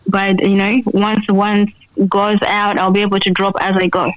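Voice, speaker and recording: A woman's voice: mid-range voice, level tone, moderate pace, no room sound, highs cut off. A woman singer-songwriter talking casually in a radio interview, switching between English and another language.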